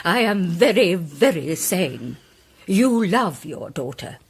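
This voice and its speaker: An actress playing an imperious, righteous British woman detective, declaiming deliberately in a theatrical radio drama style. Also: fairly low pitch, big pitch swings, creaky, husky, full character voice. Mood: solemn, accusing. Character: imperious middle-aged woman, righteous amateur detective accuser.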